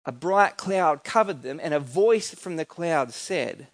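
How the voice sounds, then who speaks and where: A man's voice: fairly high pitch, expressive, measured pace, dry recording. A man with an Australian accent preaching a sermon in an informal, conversational way, often putting questions to his listeners. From New South Wales, Australia. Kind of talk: sermon with scripture reading and personal anecdotes.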